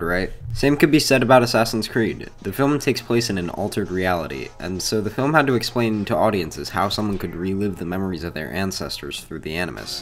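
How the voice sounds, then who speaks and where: A man hosting a video essay, reading scripted commentary in an informal, opinionated way. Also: sits low.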